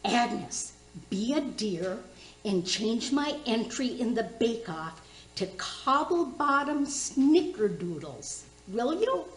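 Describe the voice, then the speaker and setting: High, sing-song nosy older neighbour lady. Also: big pitch swings, takes it slowly. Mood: scheming. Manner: folksy, sing-song, gushing. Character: nosy, sly older neighbour woman, folksy and chirpy. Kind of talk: comic radio play acting. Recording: roomy, echoing recording.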